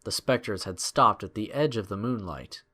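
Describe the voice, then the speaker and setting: A man narrating a scripted adventure story in a measured, expressive, dramatic storytelling style. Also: on the low side.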